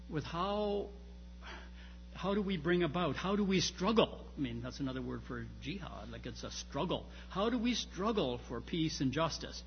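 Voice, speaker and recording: A man lectures informally in a halting, searching way, restarting phrases and leaning hard on key words. His voice sits a little high and has a creaky edge. The sound is muffled and reverberant. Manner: halting, searching phrasing with repeated starts and emphatic stresses. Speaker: lecturer on church history and theology. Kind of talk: informal academic lecture with slides. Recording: muffled, reverberant hall with background noise.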